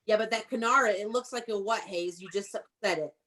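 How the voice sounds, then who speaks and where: A woman's voice: medium pitch, a little fast, a bit bright. Woman teacher leading an online read-aloud. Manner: instructive, prompting with questions and encouragement. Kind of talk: interactive read-aloud lesson with young children. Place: online video class.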